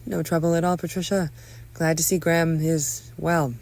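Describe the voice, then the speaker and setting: A woman's voice: low-pitched, level tone, moderate pace, darkish tone. A woman voicing a sweet, easygoing older male doctor, folksy and reassuring in a dramatic script reading.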